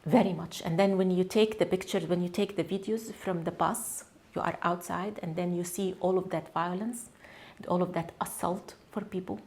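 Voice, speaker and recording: A woman whose first language is Arabic, speaking English as an interview guest in an earnest, halting way with frequent restarts. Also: on the low side, steady tone, a little mellow. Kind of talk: conversational interview.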